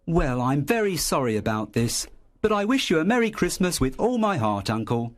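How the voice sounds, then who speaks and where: A man voicing a cheerful, warm-hearted nephew in a storybook, bright and earnest in manner. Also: fairly high pitch, very animated, moderate pace, pitched above the performer's own voice.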